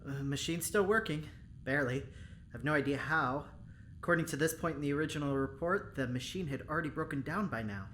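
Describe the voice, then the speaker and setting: An actor playing an easygoing but flustered lab doctor in an audio drama, with a casual, hesitant way of talking. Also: male voice, a little high, fairly quick, a bit bright, a little hiss or hum, lightly acted.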